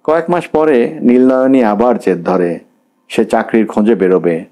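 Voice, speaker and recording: Guy narrating a story audiobook, steady pace, dark mellow voice. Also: fairly low pitch. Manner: steady, measured reading.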